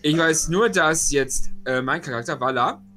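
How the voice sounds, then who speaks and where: Bright-voiced, higher-pitched man recapping hesitantly. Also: normal speed, faint music behind. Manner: hesitant, rambling. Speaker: player in a tabletop role-playing game. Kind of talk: casual spoken session recap.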